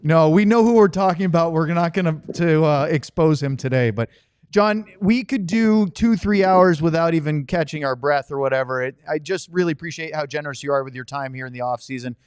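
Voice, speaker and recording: A middle-aged man hosts a sports podcast in a smooth, clear voice pitched a little high. He chats easily in an anecdotal way, and the sound is dry and close. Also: fairly quick, darkish tone, no echo. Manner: chatty, anecdotal. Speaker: podcast host and sports broadcaster. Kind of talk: casual sports podcast chat.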